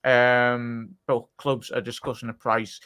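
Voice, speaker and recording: A man's voice: mid-range voice, little pitch movement, takes it slowly, sharp tone, smooth. A man hosting a football fan live stream, talking to the chat about a new signing. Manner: addresses the chat directly.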